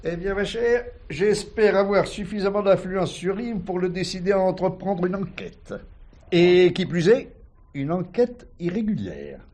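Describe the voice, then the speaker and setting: An actor playing a formal, genteel older uncle in a radio drama, with emphatic diction. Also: male voice, sits high, animated, a little slow, a little mellow, a little hiss or hum. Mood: self-assured. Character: genteel, influential high-pitched uncle, formal older man. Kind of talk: 1970s French radio drama acting.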